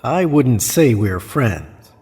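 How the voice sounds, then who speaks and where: A man's voice: medium pitch, sing-song, takes it slowly, dark tone, dry recording, heavily acted. An actor playing an earnest, guarded dead man in Hell, in audio drama dialogue and first-person narration. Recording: clear background noise.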